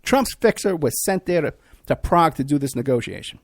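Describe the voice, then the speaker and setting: A man hosting a podcast, ranting in an animated, repetitive talk-radio monologue, hoarse and losing his voice. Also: on the high side, fairly quick, no echo, clean studio sound. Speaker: podcast host.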